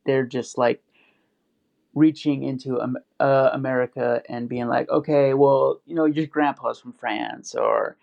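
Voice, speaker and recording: A man's voice: medium pitch, fairly even intonation, unhurried, vocal fry. A man in casual podcast chat, rambling with lots of fillers and restarts. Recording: dull lo-fi audio.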